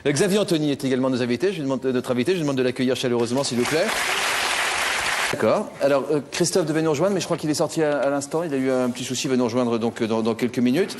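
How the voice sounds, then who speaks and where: A man's voice: mid-range voice, very fast, long reverb tail, crowd noise, background noise. A man hosting a talk show, jovial and teasing with his guests. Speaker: host.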